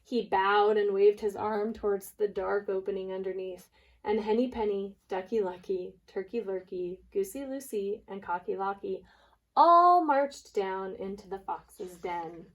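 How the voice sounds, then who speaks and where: A woman preschool teacher reading aloud and leading a lesson, deliberate and expressive for small children. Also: medium pitch, rough recording.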